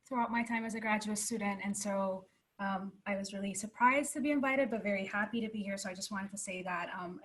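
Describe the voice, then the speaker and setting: A woman's voice: medium pitch, fairly bright tone, poor audio. A young woman from Ethiopia, a computer scientist speaking earnestly in a panel discussion over a video call, often adding "right?". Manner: earnest, conversational, frequent "right?" tags.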